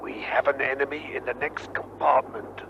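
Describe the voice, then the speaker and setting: A man playing a ruthless spy chief giving urgent, hushed orders in a radio drama. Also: mid-range voice, steady tone, moderate pace, natural voice. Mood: urgent menace. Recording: noisy muffled radio recording.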